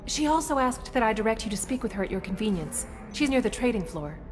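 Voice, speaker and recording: A woman's voice: fairly high pitch, big pitch swings, fast, music in the background. A woman voicing a polite city greeter in a video game, speaking in a formal, courteous way. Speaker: receptionist. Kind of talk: video game cutscene acting.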